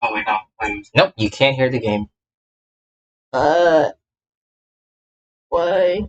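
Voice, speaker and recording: A man's voice: mid-range voice, animated, slow, highs cut off, a little hiss or hum. A man livestreaming a game, chatting casually in a scattered way, often repeating himself and cutting himself off. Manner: scattered, self-interrupting, repeats phrases. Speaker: livestreamer, gamer VTuber. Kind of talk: casual livestream chat while setting up a game.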